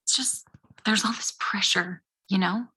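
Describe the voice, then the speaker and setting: An actress playing an anxious young woman who vents in halting, emotional bursts about pressure in her head. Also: mid-range voice, noisy background, lightly acted. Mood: frustrated. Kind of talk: short comedy film acting.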